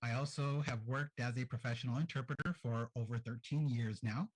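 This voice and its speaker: Husky-voiced man introducing himself. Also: mid-range voice, steady tone, fairly quick, low-quality recording. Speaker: panelist. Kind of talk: panel self-introduction.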